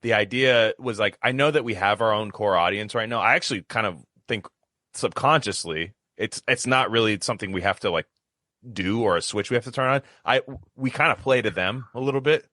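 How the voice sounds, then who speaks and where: A man co-hosting a podcast, chatting casually in an emphatic, animated, slangy way and often repeating phrases. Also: lowish voice, fairly quick, no echo, studio quality. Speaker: podcast co-host. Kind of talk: casual chat.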